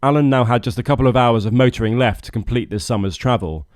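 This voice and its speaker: A man's voice: mid-range voice, quick pace, heavy background noise. A British man narrating a scripted vlog as a boat skipper, with a wry, dryly humorous manner. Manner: wry, dry humour.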